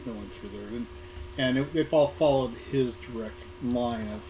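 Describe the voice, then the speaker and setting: A middle-aged or older American man reminisces in an informal talk, his voice hoarse and sitting at an average pitch. He speaks haltingly, repeating small words and pausing to find the next one. The live recording has clearly audible background noise. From Southern California. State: hoarse, getting over an illness. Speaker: guest speaker, museum programming manager. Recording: noisy live recording with room ambience.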